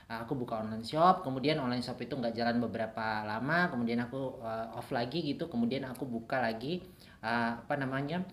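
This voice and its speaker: A woman's voice: rumbling low, a little fast. A woman from Papua, Indonesia, chatting casually in a joking, teasing way as a business owner being interviewed. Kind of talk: casual chat. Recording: echoey room.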